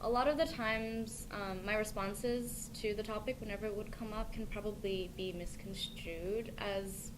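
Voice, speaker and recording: A young woman, answering questions slowly and thoughtfully and often pausing on "I mean" and "like". Her pitch sits in a middling range. The recording carries a steady wash of background noise. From United States. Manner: thoughtful, hesitant, fillers like "I mean" and "like". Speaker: interviewee. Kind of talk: casual interview answers. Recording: noisy recording with ambient hiss.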